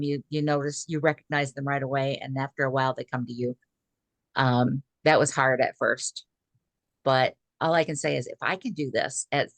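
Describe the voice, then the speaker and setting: A woman's voice: sits low, gravelly, dry recording. A woman in her sixties speaking as a guest on a video call, chatty and full of "um" fillers. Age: 60s. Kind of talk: casual video call talk.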